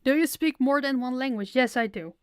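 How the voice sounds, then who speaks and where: Giggly young woman, smooth voice. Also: high-pitched, a little fast, dry recording, clean studio sound. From Netherlands. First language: Dutch. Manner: chatty, giggly, self-deprecating. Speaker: audio creator streaming a Q&A. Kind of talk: casual livestream Q&A.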